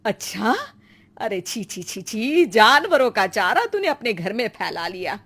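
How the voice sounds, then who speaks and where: A woman's voice: medium pitch, big pitch swings, a little slow, a bit bright, breathy edge, exaggerated voice. A woman voicing a greedy, envious rich neighbour in a moral story, exclamatory, nosy and scornful. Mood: disgusted. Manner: exclamatory, nosy, mock-admiring. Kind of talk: Hindi moral storybook acting.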